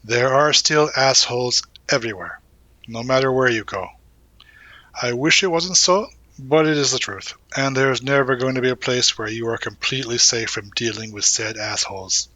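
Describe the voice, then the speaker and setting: Guy playing a supportive young college dude, bright voice, super dry close sound, casual and teasing, intimate audio roleplay. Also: mid-range voice, dry recording, natural voice, poor audio. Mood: sincere, reflective. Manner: casual, reassuring, hesitant pauses and murmured asides. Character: supportive young college man, gently teasing, protective confidant. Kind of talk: intimate audio roleplay, one-sided conversation.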